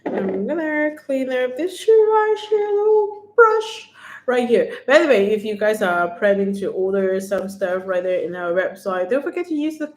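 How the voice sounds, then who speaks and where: A woman with a Thai accent hosting a beauty livestream, chatting casually as she demonstrates products and pitches discounts. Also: very animated, normal speed. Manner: chatty, keeps repeating "right here", upbeat sales talk. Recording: echoey room.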